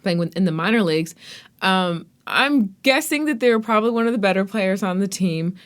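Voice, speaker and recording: A woman's voice: mid-range voice, a little fast. A woman hosting a podcast, interviewing a guest in a conversational style.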